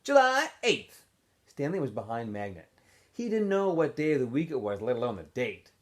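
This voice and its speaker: A man reading a story aloud as a teacher would, in an expressive, animated narrating style. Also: mid-range voice, fairly quick. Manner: animated, acts out dialogue in shifting voices.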